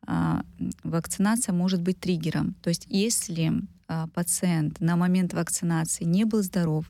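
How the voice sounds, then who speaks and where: A woman giving expert interview answers as a medical researcher, speaking emphatically in technical terms with pauses between clauses. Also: fairly low pitch, vocal fry, warm tone, dry recording, studio quality.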